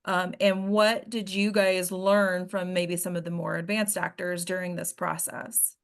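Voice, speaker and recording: A woman's voice: lowish voice, little pitch movement, a little slow, creaky. A woman casually moderating an actors' group discussion as host on a video call. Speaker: host of a table read discussion.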